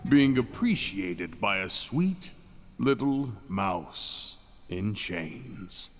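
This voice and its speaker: A man voicing an ancient, mad captive dragon king for an intimate ASMR roleplay, seductive, possessive and menacing, with teasing, drawn-out phrasing. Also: sits low, very animated, full character voice. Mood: teasing, languid. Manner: languid, teasing, drawn-out phrasing with dramatic pauses. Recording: long cavernous reverb, music behind.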